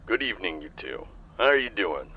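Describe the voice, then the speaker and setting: A man playing a calm, businesslike police chief in an audio roleplay, heard through a phone filter. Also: low voice, a little fast, slightly rough, heavily acted. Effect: phone call filter. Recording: tinny phone line.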